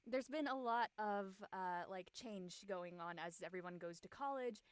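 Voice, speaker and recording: A woman with a medium-pitched voice chats casually and unscripted as an actress on a panel. She is hesitant, pausing and restarting as she goes, in a dry recording with little room sound. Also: clean tone, no room sound. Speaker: actress on the show, as a guest panelist.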